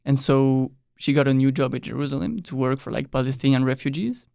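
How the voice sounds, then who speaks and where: A man's voice: mid-range voice, a little fast, creaky, clear, resonant tone, no echo. A young man who grew up in Mexico, chatting easily as an exchange-student guest on an interview podcast. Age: young adult. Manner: relaxed, loose with frequent "like" and quiet trailing ends. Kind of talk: casual interview chat. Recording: dry close studio mic.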